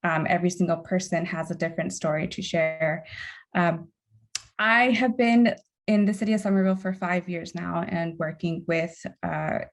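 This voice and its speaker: A woman's voice: lowish voice, moderate pace. A young woman whose first language is Spanish, speaking earnestly as a panelist at a community event. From United States. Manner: earnest, with frequent "um" and "uh". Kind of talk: panel talk.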